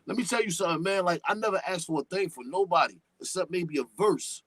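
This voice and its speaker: A man, a hip-hop artist who calls his own voice deep, though it sits a touch higher than most men's. He rambles in animated, streetwise slang and swears freely, and the recording is dry and close to the mic. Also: fast talker, no room sound. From New York. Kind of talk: casual podcast chat.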